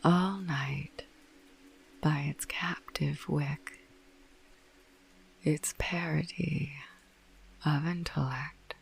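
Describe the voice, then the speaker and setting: A woman narrating a bedtime ASMR podcast in a hushed, breathy whisper, soothing and unhurried. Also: low-pitched, steady tone. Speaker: host of a sleep podcast.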